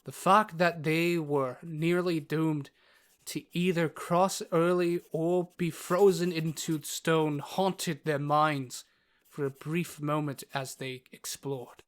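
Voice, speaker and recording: Slow-paced man narrating fantasy. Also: fairly high pitch, steady tone. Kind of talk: scripted fantasy story narration.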